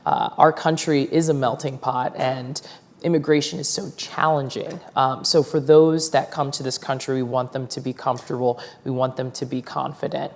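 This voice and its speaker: A woman moderating a panel discussion, asking measured questions and affirming the speakers. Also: rumbling low, fairly even intonation, big-room sound. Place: university forum hall.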